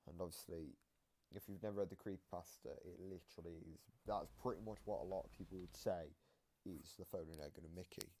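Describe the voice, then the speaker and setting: A man's voice: sits low, steady tone, fast, dark tone. A man giving casual, explanatory commentary in a reaction video, often hedging as he interprets things. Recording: noisy home mic with clicks.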